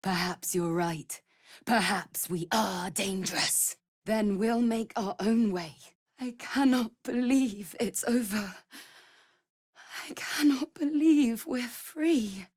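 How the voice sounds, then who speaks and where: A devoted vampire servant lady for a fantasy game, highish voice, slow and formal, anxious underneath. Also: darkish tone, breathy edge, no echo, clean studio sound. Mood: subdued, relieved. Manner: formal, earnest, measured. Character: anxious, devoted female vampire spawn serving her master. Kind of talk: dramatic fantasy video game voice acting.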